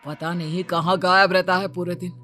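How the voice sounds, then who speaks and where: A man playing a stern, exasperated middle-aged father who scolds and nags in an animated moral story. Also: high voice, moderate pace. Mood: angry, suspicious. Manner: scolding, nagging. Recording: music behind the voice.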